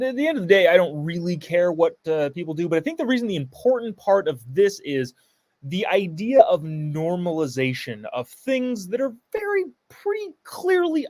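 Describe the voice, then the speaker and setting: A male host of a live show, sarcastic and reacting to a clip, his fairly high voice swinging up and down. He reads viewer comments aloud. The sound is close and dry. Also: dry recording.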